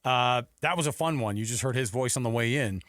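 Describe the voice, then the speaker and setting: A man's voice: mid-range voice, brisk, fairly bright tone, no room sound. Male sports radio host, close dry sound. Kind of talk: sports talk radio chat.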